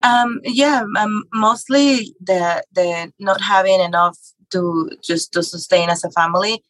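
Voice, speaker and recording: A woman's voice: medium pitch, a little slow, a bit bright, no room sound, poor audio. A middle-aged woman from Mexico, a real estate investor and author, telling her story as a podcast guest with many fillers and restarts. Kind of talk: conversational interview.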